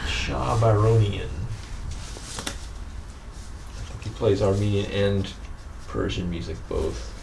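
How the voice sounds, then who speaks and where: A man in a casual, halting chat about old records, as a guest record collector. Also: fairly low pitch, gravelly, darkish tone, slight background noise, rough recording.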